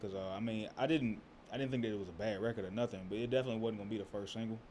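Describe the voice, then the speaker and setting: A man with a bassy voice, a rapper from Texas, talking in a laid-back, slangy way in a casual interview. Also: steady tone, racing, a little mellow, a little hiss or hum. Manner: laid-back, slangy, keeps saying "you know what I'm saying". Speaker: rapper guest.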